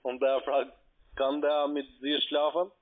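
A man with a foreign accent, speaking halting, broken phrases in a phone conversation as a call centre agent. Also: fairly high pitch, level tone. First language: not German. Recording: tinny, narrow phone line.

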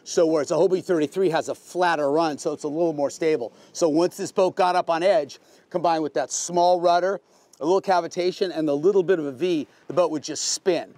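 A man's voice: a little high, fairly even intonation, brisk, a little mellow, no echo. A middle-aged American man giving a casual, unscripted boat tour in a plain-spoken, folksy, explanatory way, like a veteran sailor.

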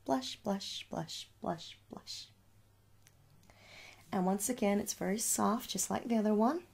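Slow, husky soft-spoken ASMR woman. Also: mid-range voice. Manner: slow, coaxing, asks little questions. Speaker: ASMR performer playing a makeup shop seller. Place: small room at home.

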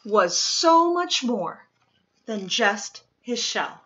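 A woman reads a children's picture book aloud with expressive, deliberate dramatic emphasis, recorded in a living room. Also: a little high, lo-fi sound. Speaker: storytime reader.

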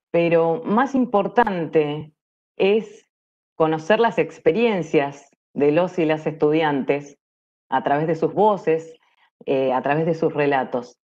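Low-voiced, unhurried Argentine woman. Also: darkish tone. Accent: Argentine Spanish. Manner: halting, pausing between phrases. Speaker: workshop presenter. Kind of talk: online presentation. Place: indoor room at home. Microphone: wired earphones on video call. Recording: slightly dull video-call audio.

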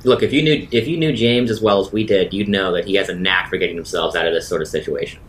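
A man with a fairly low voice plays a tabletop roleplaying game. He improvises dialogue and narration. There is faint noise and rumble behind him. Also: fast talker.

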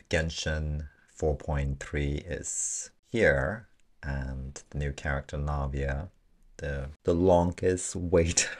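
A young adult man giving casual reaction commentary as a gaming video host, speaking close to a desk microphone in a room. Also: rumbling low.

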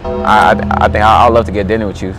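A man in his twenties chatting casually outdoors on a city sidewalk, talking in a relaxed, slangy way with lots of fillers. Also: lowish voice, fast, over music. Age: 20s. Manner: laid-back slangy talk with many fillers. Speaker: prank video host. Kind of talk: casual street chat. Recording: noisy street ambience.

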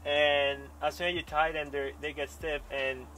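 A young pro water skier answers questions in a bright, forward voice, stopping and restarting as he hunts for words. He is recorded outdoors on a lakeside dock, with faint ambient sound around him. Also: a little high, flat delivery, a little hiss or hum, poor audio. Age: young adult. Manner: halting, searching for words in a second language. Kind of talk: casual interview.

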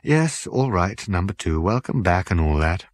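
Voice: sits low, expressive, normal speed, no echo. A narrator playing a mild, genial male captain, vague and hesitantly polite, in a comic science-fiction audiobook.

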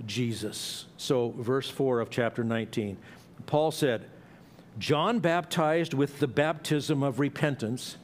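A middle-aged man preaching an expository sermon in a church, explaining a passage in a conversational way with asides and small restarts. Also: mid-range voice. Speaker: pastor. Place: church sanctuary.

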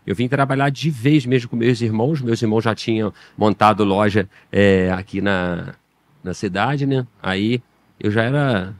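A man's voice: fairly low pitch, normal speed, no echo. A middle-aged man from Minas Gerais with a slight Minas Gerais accent, a podcast guest who owns a bike shop, chatting casually with hesitant restarts. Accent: slight Minas Gerais Brazilian Portuguese. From Minas Gerais, Brazil. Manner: relaxed, hesitant, many restarts. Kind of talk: casual interview chat.

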